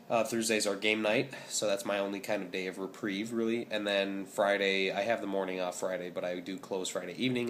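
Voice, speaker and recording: A young man in his twenties with a scratchy, hoarse voice, talking casually in a rambling vlog update with many ums. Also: lowish voice. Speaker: vlogger.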